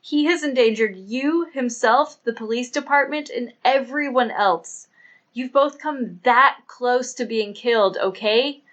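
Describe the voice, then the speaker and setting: A man voicing a sober, skeptical female police inspector, reasoning in a measured, firm way in an audiobook. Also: extremely high pitch, a bit bright, muffled recording, voice pushed up.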